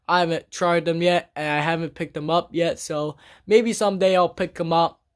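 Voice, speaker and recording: A game collector vlogging casually about their collection, talking in a halting, rambling way with many restarts and blunt grumbles. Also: mid-range voice, fairly even intonation. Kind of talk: casual collection show-and-tell vlog. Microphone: camera on a tripod.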